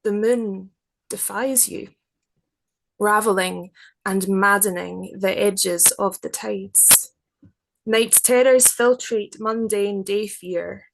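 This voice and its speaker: A woman poet speaking in a deliberate, careful, literary way, as in a reading of her own work. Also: mid-range voice, steady tone, gravelly, low-quality recording. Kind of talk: measured poetry reading and reflective explanation.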